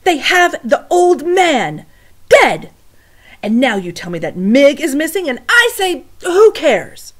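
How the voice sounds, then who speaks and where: A scornful castle servant woman, put on by a woman reading aloud, shouting high and loud with a lively, snapping delivery. She sounds indignant and dismissive of everyone. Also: slow, full character voice. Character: sharp-tongued tall female castle servant, dismissive.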